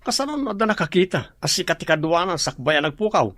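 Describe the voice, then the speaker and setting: A man's voice: on the high side, sing-song, a little fast, a bit bright, dry recording, studio quality. An actor playing a bitter man recovering in hospital, speaking in a grim, resentful way in a radio drama. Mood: agitated.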